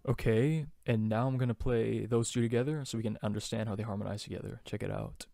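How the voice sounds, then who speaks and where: A man's voice: lowish voice, steady tone, fast talker, vocal fry, mellow, dry recording, clean studio sound. A man teaching a song's vocal harmonies in a loose, chatty way, with many fillers and restarts. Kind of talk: casual harmony tutorial.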